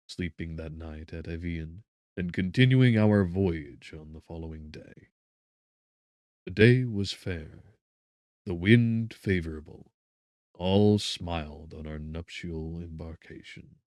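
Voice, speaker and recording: A man with a low, deep voice reads a gothic novel as a dramatic audiobook. His delivery is measured, solemn and theatrical, recorded close and dry with very little room sound. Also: a little mellow, dry recording. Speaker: audiobook narrator.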